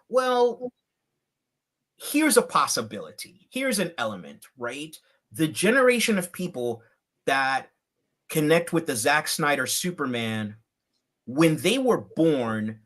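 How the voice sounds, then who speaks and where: Fifties guy on a video call, takes his time, measured and thoughtful. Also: on the high side, sing-song, a bit bright. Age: 50s. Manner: measured, slow and deliberate, building points step by step. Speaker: guest. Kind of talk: reflective livecast conversation.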